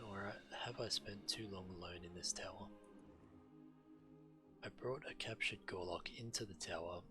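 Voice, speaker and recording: A man with a fairly low voice narrates a game stream, reading quest text aloud and adding his own commentary. Clear game music plays behind him. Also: rough recording. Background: video game music.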